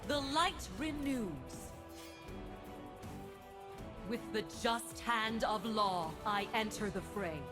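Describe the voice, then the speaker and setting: A woman's voice: a little high, unhurried, lightly acted. A woman voicing a proud, righteous winged celestial judge for a video game, declaiming in a solemn, imperious way. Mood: exalted resolve. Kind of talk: video game character voice lines. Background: music.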